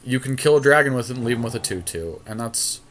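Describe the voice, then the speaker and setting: A man's voice: medium pitch, expressive, quick pace, a bit bright, slight background noise. A man co-hosting a gaming podcast, giving casual commentary on an online card game with a friend and griping dryly with the odd mild swear.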